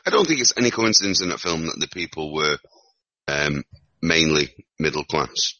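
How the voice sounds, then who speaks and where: A man's voice: extremely low pitch, expressive, moderate pace, pushed and bright. A man with a Northern English accent in casual discussion, rambling and repeating words as he thinks aloud. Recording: muffled lo-fi recording.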